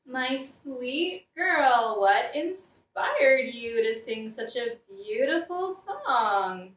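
A woman's voice: high-pitched, animated, very slow, a bit bright, exaggerated voice. A woman playing a reluctant, weary queen with a dry, put-upon manner in a satirical audio play. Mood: strained sweetness. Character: reluctant, weary queen and mother, politely condescending. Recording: echoey room, distant from mic.

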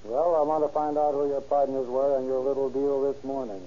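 A man's voice: medium pitch, fairly quick, slight background noise, natural voice. A man playing a wry police inspector. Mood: dry. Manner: calm, dry, wry questioning. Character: brisk, confident male police inspector. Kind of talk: 1930s radio acting. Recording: dull old radio recording.

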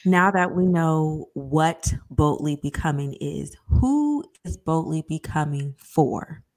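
A woman's voice: sits low, expressive, takes it slowly, darkish tone, dry recording. A woman in her thirties co-hosting a podcast, chatting casually and animatedly, sometimes searching for her words. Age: 30s. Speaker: podcast co-host. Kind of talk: casual chat.

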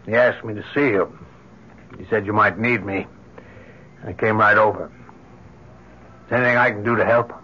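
An actor playing an earnest, shaken minister in old-time radio drama, grave and dramatic, on a hissy old recording. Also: male voice, lowish voice, steady tone, normal speed, pauses often, bright, forceful tone, dull audio. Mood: concerned. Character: earnest, shaken minister, skeptical turning fearful.

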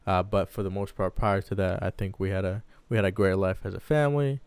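Smooth, low-voiced young man chatting casually. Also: fairly even intonation, fairly quick, a little mellow, dry recording. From Baghdad, Iraq. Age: 20s. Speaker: podcast co-host. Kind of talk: casual personal storytelling.

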